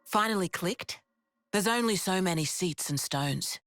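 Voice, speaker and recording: A low, frank woman resistance leader. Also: expressive, a bit bright, dry recording. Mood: solemn explaining. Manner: plain-spoken, informal, measured storytelling. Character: frank, steady female resistance leader explaining old legends. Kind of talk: video game cutscene acting. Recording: music behind the voice.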